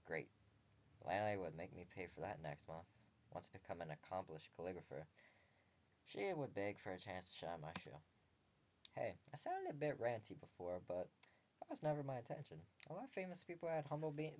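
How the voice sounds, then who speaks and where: Man, low voice, reads a story game aloud, jokey crude asides, muffled lo-fi sound. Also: big pitch swings, fairly quick, a little mellow, slight background noise. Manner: breaks off the reading for joking, crude asides. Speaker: visual novel reader. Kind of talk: reading a visual novel aloud with commentary.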